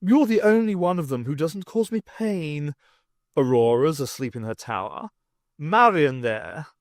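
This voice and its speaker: A man's voice: fairly high pitch, animated, no room sound, clean studio sound. A man voicing a moody king who growls and mocks cruelly, then turns to self-pity. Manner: growling, mocking, slow and drawn out.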